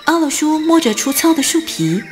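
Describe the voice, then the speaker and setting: A woman narrating a radio drama as scripted storytelling, with expressive, deliberate phrasing. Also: sits high, soft background music.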